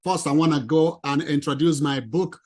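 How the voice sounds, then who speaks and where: A man with a non-native English accent giving an animated, emphatic presentation to a professional audience. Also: a little high, moderate pace. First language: not English. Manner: emphatic, lively, addresses ladies and gentlemen.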